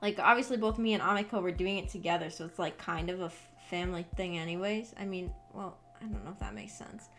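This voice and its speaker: A woman's voice: on the low side, fast, few pauses, fairly bright tone. A young woman chatting casually as a VTuber on a livestream, rambling in a giggly, hesitant way. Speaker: VTuber streamer. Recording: background music behind voice. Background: music.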